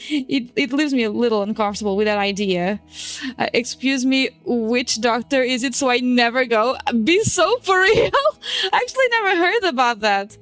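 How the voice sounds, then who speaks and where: A woman's voice: fairly high pitch, expressive, moderate pace, a bit bright, soft background music. A woman in her twenties, a native Portuguese speaker, chatting casually on a stream while she draws, swearing and laughing often. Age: 20s. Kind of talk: casual chat while drawing.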